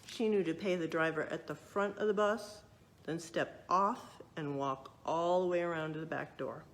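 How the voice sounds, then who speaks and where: A woman's voice: low voice, moderate pace. A woman reading a picture book aloud expressively, adding explanatory asides and questions for young listeners. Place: museum exhibit space.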